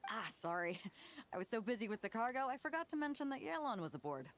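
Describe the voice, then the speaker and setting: Breezy, confident sea captain. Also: female voice, fairly high pitch, very animated, fast talker, background noise. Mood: relaxed. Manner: breezy, colloquial swagger. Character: bold, easygoing female sea captain, hearty and confident. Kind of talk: video game voice acting. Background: game music.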